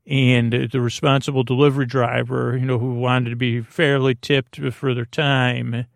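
Male podcast host for sleepy, goofy rambling; gravelly fry, mid-low everyday pitch, close dry sound, lots of stumbling restarts. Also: level tone, no echo. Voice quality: creaky, gravelly. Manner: halting, digressive, frequent false starts and repetitions; gently silly, goofy. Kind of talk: rambling, meandering solo bedtime podcast monologue.

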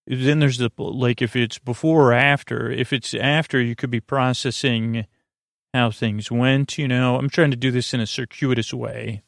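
An American man hosts a bedtime podcast in a creaky, gravelly voice at a middling pitch. He wanders through his monologue, halting and doubling back on his own sentences. The sound is close and dry. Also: fairly even intonation, no echo. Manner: meandering, halting, self-interrupting. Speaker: podcast host. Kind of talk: rambling sleep podcast monologue.

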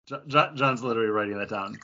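A man co-hosting a podcast, chatting casually and cracking jokes with quick interjections. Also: medium pitch, fairly bright tone, highs cut off, a little hiss or hum. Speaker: podcast co-host. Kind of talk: casual chat.

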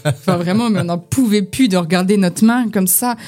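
A woman's voice: medium pitch, moderate pace, darkish tone, faint music behind. A young woman from the Vosges in France, a podcast guest talking casually about acting, with lots of fillers and restarts. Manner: chatty, many restarts and fillers. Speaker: podcast guest, actress and poet. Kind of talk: casual chat. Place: informal gathering over beer.

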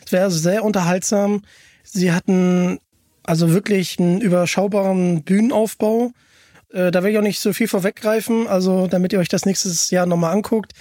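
A man's voice: high voice, steady tone, normal speed, mellow, dry recording, clean studio sound. A man hosting a podcast, chatting casually and with enthusiasm. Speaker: podcast host.

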